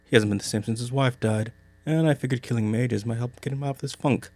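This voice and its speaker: A man's voice: medium pitch, fast talker, a little mellow, no room sound, close to an everyday voice. A man playing a scheming, dismissive older priest in an anime parody dub, speaking in an officious, matter-of-fact way.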